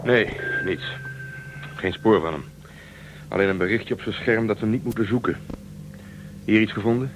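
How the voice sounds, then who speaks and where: Man acting a deep, grave spaceship crewman. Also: normal speed, long pauses, a little hiss or hum, close to an everyday voice, low-quality recording. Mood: worried. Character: grave, worried male spaceship crew member. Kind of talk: Dutch radio drama acting.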